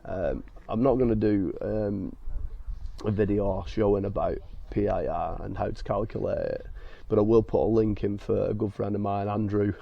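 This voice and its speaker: A man with a croaky, hoarse voice from being ill, hosting a car detailing vlog and explaining each step in a chatty way with many fillers. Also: on the low side, steady tone, normal speed, dry recording. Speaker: car detailing channel host. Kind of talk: casual talk to camera with fillers. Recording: dull lo-fi sound.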